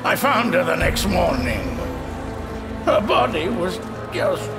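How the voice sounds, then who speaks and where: Very gravelly, guilt-ridden old constable. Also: male voice, sits high, very animated, heavily acted. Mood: anguished shame. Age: older. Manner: halting, anguished confession. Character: guilt-ridden older male constable, frightened and broken. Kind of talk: full-cast audio drama acting. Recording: dramatic music behind.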